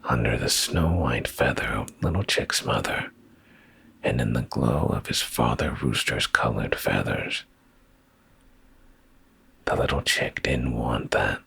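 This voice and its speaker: A man softly reading a bedtime story in ASMR style, in a deep, gravelly, breathy voice that often slips into a whisper, drowsy and soothing, close to the microphone. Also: measured pace, pauses often, no echo.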